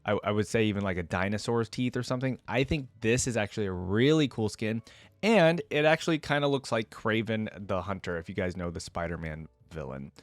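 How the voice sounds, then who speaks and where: A man's voice: on the low side, fairly quick, dry recording. A man giving casual, off-the-cuff reaction commentary as a video host, thinking aloud in an informal, wryly bemused way.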